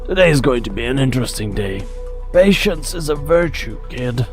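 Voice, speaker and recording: A man's voice: mid-range voice, expressive, moderate pace, lightly acted. A man playing a teasing, courteous business director in a game, with polite, theatrical phrasing. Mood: teasing, knowing. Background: faint music.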